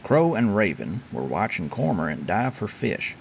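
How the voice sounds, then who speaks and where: A man's voice: lowish voice, very animated, normal speed, gravelly, a little hiss or hum, poor audio. A man performing as a storyteller, giving theatrical scripted narration.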